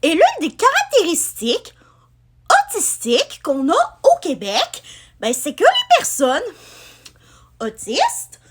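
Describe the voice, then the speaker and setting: A woman's voice: very high-pitched, wildly swooping pitch, slow pace, long pauses. A young woman from Quebec with a partly France-style accent, chatting to camera in a rambling way with many fillers. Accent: Quebec French, with some France-style features. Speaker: vlogger. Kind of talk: casual chat to camera.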